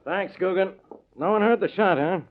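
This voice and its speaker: A man's voice: high voice, normal speed, slight background noise, lightly acted. Man acting tinny-sounding, exasperated radio police inspector. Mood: matter-of-fact. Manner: gruffly impatient, sarcastic. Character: exasperated, smug male police homicide inspector. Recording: tinny, narrow-band old radio recording.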